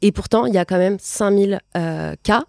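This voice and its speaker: A woman's voice: mid-range voice, moderate pace, vocal fry, darkish tone, no echo, studio quality. A woman in her late twenties hosting a podcast, speaking in a conversational interviewing style. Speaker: podcast host. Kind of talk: conversational interviewing at a talk event.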